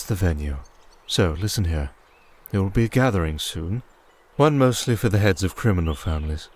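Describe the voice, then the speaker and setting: A man playing a cold vampire archduke and crime lord in an ASMR roleplay, speaking in a measured, formal, condescending way. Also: sits low, darkish tone, lightly acted. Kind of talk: ASMR roleplay audio drama.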